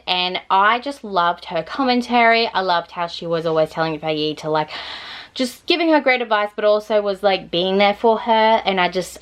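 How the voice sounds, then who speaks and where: Casting: female vlogger, gushing and enthusiastic, mid-pitched, casual chat straight to camera. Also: fairly bright tone. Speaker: book reviewer vlogger.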